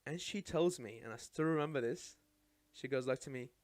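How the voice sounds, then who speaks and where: A young man from Iraq whose first language is Arabic, recounting his life story as a podcast guest in a studio, chatty and animated, often adding "right?". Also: mid-range voice, brisk, no echo. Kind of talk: casual storytelling chat.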